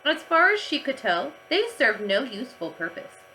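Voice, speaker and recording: Animated woman reading a storybook. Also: high-pitched, moderate pace, slight background noise, poor audio. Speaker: teacher reading aloud to pupils. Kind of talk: animated children's storybook narration.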